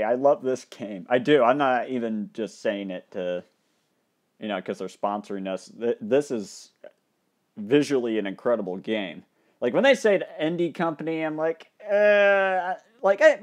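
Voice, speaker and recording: A young man hosting a casual game commentary, thinking aloud and breaking into loud excited outbursts, his mouth dry and his voice getting tired. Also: mid-range voice. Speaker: gaming channel host. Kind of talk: casual let's play commentary.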